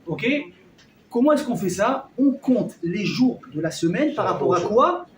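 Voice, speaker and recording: Animated rabbi with a high voice. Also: male voice, a little slow, a little hiss or hum. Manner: animated, jokey, quizzes the audience. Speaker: rabbi giving a class. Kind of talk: lively Torah class with audience. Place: hall with a live audience. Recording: echoey room, mic at a distance.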